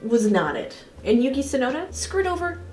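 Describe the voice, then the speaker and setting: A woman's voice: high-pitched, sing-song, moderate pace, a little mellow, a little hiss or hum. A woman giving a casual, opinionated race review in an informal way. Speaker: motorsport analysis video host. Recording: echoey room, faint music behind.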